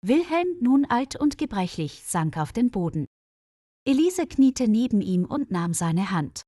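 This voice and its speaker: A woman's voice: a little high, sing-song, unhurried, dark tone, dry recording, studio quality. A woman reading a scripted story aloud as a storyteller for language learners.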